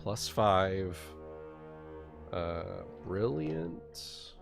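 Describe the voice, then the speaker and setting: A man narrating and explaining a tabletop game as game master, in a halting way with repeated phrases. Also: low-pitched, very animated, gravelly, over music.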